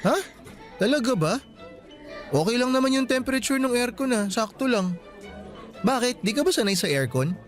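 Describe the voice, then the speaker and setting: A man's voice: extremely high pitch, lively intonation, moderate pace, pauses often, soft background music. A man voicing a friendly young male student from a well-off family, easygoing in a radio drama. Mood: concerned. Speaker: voice actor.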